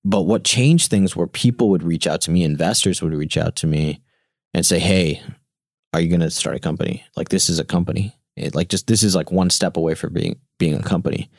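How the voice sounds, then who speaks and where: A man's voice: low voice, vocal fry, no room sound, clean studio sound. A man in his thirties, a founder being interviewed, talking casually with many restarts and fillers, whose first language is Gujarati. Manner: halting, many restarts and fillers, animated quoting. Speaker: startup founder, guest.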